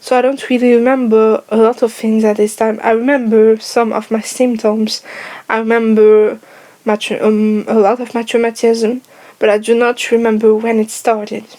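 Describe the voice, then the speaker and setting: A young woman with a French accent talks to her camera in English, which is not her first language, so she picks her words with care and often pauses to find them. Her voice sits fairly high and stays level, with little rise and fall. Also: little pitch movement, dark tone. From France. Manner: careful, hesitant, often searching for words. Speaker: vlogger. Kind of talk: casual personal vlog. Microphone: headphones on, speaking to camera.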